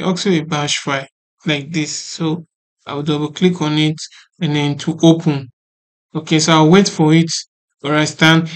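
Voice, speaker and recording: A man presenting a casual software tutorial in a halting, repetitive way, often checking that the listener understands. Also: on the high side.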